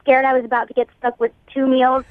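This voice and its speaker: High-voiced woman on a dull phone line. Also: little pitch movement, fast, a crowd in the background. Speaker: radio call-in guest. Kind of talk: casual personal storytelling. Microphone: phone call. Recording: band-limited phone line.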